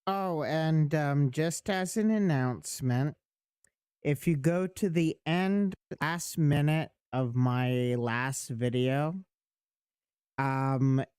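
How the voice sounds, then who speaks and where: A man's voice: fairly high pitch, takes it slowly, a little mellow, smooth, no room sound. A man chatting on a livestream, dry and sardonic in tone. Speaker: guest on the stream. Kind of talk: casual chat.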